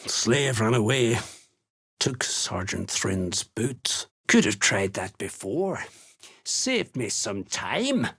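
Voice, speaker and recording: Gravelly, menacing duergar slave-hunter. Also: male voice, fairly low pitch, big pitch swings, takes it slowly, a bit bright, heavily acted. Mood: curt, wary. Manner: curt, threatening. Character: gruff menacing male duergar slave-hunter warrior of a cult. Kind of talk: video game character voice lines.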